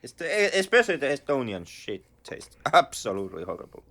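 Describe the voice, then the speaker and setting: A man from Finland with a Finnish accent, co-hosting a podcast and chatting in a halting way, repeating words as he searches for them. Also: medium pitch, very animated, vocal fry, a bit bright. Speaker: podcast co-host. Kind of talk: casual chat.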